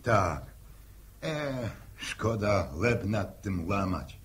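An actor playing a weary, irritable older farmer who grumbles and stammers when cross, in a 1960s radio drama. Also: male voice, on the low side, animated, extremely slow, fairly bright tone, exaggerated voice. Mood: resigned, grumbling. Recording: old 1960 radio drama recording.

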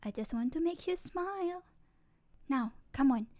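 A woman's voice: high-pitched, expressive, unhurried, a little mellow, dry recording. A woman playing a sweet, playful, doting young girlfriend in an ASMR roleplay, cutesy and tender, close to the microphone. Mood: excited, loving. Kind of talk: ASMR roleplay, scripted acting.

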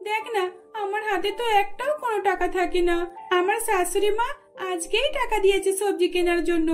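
A woman voicing a spoiled young rich daughter in a cartoon, whiny and wheedling. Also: very high-pitched. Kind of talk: cartoon dubbing.

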